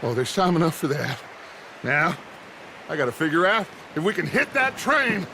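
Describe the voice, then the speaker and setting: An actor playing a commanding middle-aged American outlaw gang leader in a video game, theatrical and oratorical, bent on vengeance. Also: male voice, fairly high pitch, sing-song, normal speed, noisy background. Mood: mocking, grand. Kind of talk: dramatic video game acting.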